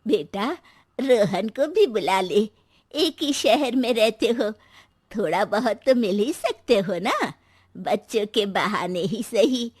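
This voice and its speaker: Sorrowful old mother played by a woman: husky, slow, plaintive, with wry touches. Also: mid-range voice, lively intonation, darkish tone, no room sound, studio quality. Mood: wistful.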